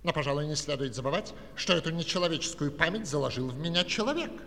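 Boastful, high-pitched talking computer. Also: male voice, expressive, fast talker, a bit bright. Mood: proud. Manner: declamatory, playful, proud, quizmaster-like. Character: boastful, theatrical talking computer automaton, lively showman. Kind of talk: Soviet children's audio play acting, with sung verses. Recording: 1960s studio recording, long reverb, music.

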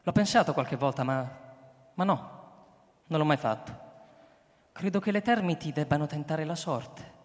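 A man's voice: a little high, moderate pace, long pauses, close to an everyday voice. Actor as grave man in long reverb. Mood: grave, resigned. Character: grave, resigned man recounting and confessing a catastrophe. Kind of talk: radio drama acting, first-person narration.

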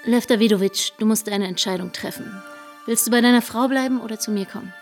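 A woman's voice: a little high, quick pace, darkish tone, lightly acted. An actress in a radio drama playing a bold, worldly lover, passionate and bluntly direct, with music behind her. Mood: demanding. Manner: direct, challenging.

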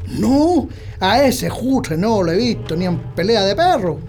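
An actor playing a gruff, suspicious Chilean countryman with a creaky voice in a radio drama. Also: sits high, sing-song, a little slow, a little mellow, exaggerated voice. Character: gruff, suspicious Chilean peasant husband with a gun. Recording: music behind the voice.

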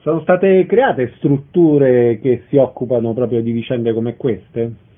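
A man's voice: medium pitch, slow. A man presenting and interviewing as a radio host. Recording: narrow lo-fi band-limited radio sound.

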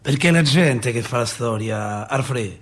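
A man's voice: mid-range voice, a little slow, lightly acted. An actor playing a working-class Roman father in a radio play, telling his memories in a colloquial, repetitive, emphatic way with a Roman accent. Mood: tender, nostalgic. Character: working-class Roman father recalling 1970s street politics, wistful, impassioned. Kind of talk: radio theatre monologue.

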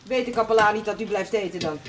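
A woman's voice: medium pitch, fast talker, close to an everyday voice. A woman playing a wary, curt priest's housekeeper in a television period drama, with a disapproving manner. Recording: noisy 1970s TV soundtrack. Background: sizzling pan, kitchen clatter.

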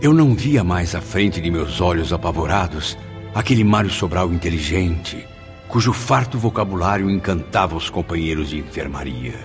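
A man acting an anguished, compassionate male spirit in a radio drama, pleading and lamenting with dramatic delivery. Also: sits low. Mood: sorrowful recollection. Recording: music under the voice. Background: background music.

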